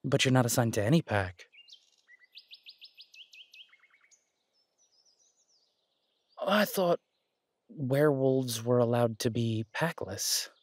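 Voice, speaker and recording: A man's voice: mid-range voice, normal speed, dry recording, natural voice. A man playing a caring, easygoing boyfriend in an intimate audio roleplay, talking in a hesitant, reassuring, conversational way. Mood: affectionate, curious. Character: caring, easygoing human boyfriend of a werewolf woman.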